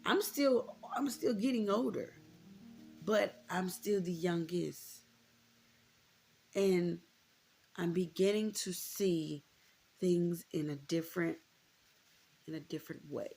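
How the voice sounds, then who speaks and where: A woman's voice: on the low side, slow pace, creaky, pauses often, darkish tone, breathy edge, faint music behind. An American woman in her thirties talking candidly and informally to her viewers, reflective and repeating points for emphasis. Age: 30s. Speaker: vlogger. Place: indoors, room with a closet.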